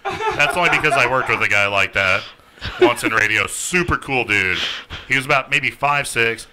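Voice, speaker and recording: A man's voice: medium pitch, sing-song, normal speed, over a noisy crowd. A man on a podcast, ranting with strong opinions and a big mouth. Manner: loud, ranting, mocking voices. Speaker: podcast co-host. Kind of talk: casual opinionated chat.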